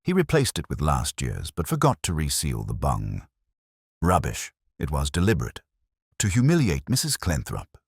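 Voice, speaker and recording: A man's voice: a bass voice, wildly swooping pitch, normal speed, slightly rough, no echo, studio quality, heavily acted. A man putting on chattering pub gossips. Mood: gossipy. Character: gossiping small-town pub regulars.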